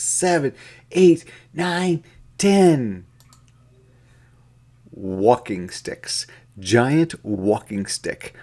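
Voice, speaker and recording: A man reading a children's counting book aloud in a deliberate, interactive way, with sing-song counting and repetition for young listeners. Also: mid-range voice, darkish tone.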